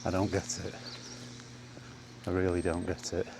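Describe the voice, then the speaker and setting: Man, deep voice, slow delivery, casual walking vlog, rambling and plain-spoken, faint outdoor background noise. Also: completely flat delivery, vocal fry. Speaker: gaming vlogger.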